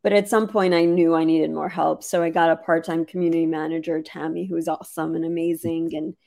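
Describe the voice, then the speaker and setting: Hoarse woman founder, low and gravelly, chatty webinar guest full of fillers. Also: little pitch movement, brisk, warm tone. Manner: chatty, many "like" fillers and restarts. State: hoarse, losing her voice. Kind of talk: casual webinar conversation.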